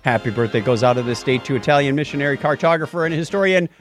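A man's voice: mid-range voice, fast talker, soft background music. A man co-hosting a podcast, bantering casually and reading out segments in a playful, theatrical way. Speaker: podcast co-host.

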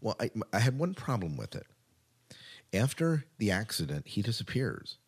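A man with a very deep, low-pitched voice co-hosts a podcast. He chats casually about television news. He leans on key points by repeating words for emphasis. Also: sing-song, normal speed, breathy edge. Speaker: podcast co-host.

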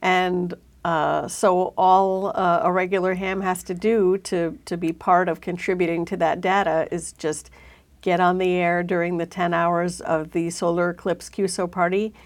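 A woman's voice: lowish voice, little pitch movement, a little slow. A woman from New England hosting an interview, recapping points in a friendly way. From New England, USA. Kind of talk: podcast interview.

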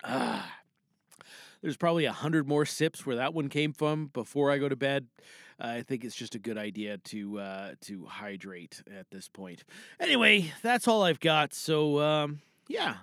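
A man's voice: mid-range voice, expressive, a little slow, gravelly, fairly bright tone, no room sound, studio quality. A man podcasting solo in a casual, rambling, self-deprecating way. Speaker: podcast host.

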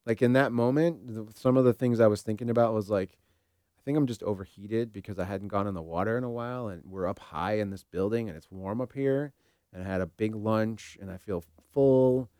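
A man with a fairly low voice chats reflectively as a co-host. He is tentative and reasons things out as he goes. The recording is dry and free of room sound. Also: brisk, dark tone, smooth, dry recording. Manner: tentative, thinking aloud. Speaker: therapist co-hosting a talk show. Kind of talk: casual reflective discussion.

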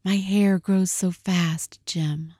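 A woman's voice: mid-range voice, normal speed, warm tone, dry recording, studio quality, natural voice. A woman reading a storybook aloud, voicing an anxious, loving young wife with a pleading, breathless manner. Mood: tender.

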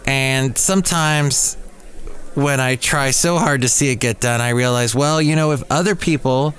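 A man's voice: a little high, a bit bright. A man hosting a solo podcast in a rambling, digressive way, with playful puns. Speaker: podcast host.

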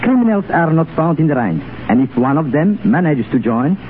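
Tinny radio guest, animated man. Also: fairly high pitch, brisk. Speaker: guest, former Legion soldier. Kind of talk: semi-scripted 1930s radio interview. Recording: tinny 1930s radio broadcast, faint noise.

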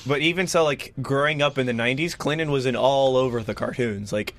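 Bright-voiced, rambling young man. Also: medium pitch, brisk. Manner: rambling, hesitant, ironic. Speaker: podcast co-host. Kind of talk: casual political chat.

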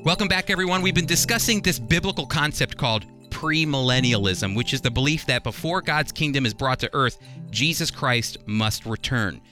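A man's voice: medium pitch, normal speed, sharp tone, soft background music. A man hosting a religious radio program, teaching the Bible in a conversational, earnest, explanatory way with emphasis.

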